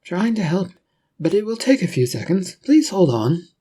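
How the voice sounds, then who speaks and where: A man's voice: high-pitched, very animated, normal speed, dark tone, slightly rough, pitched above the performer's own voice, heavily acted. A man voicing a polite, composed and chatty adventuring companion in a narrated story. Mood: polite, pressed.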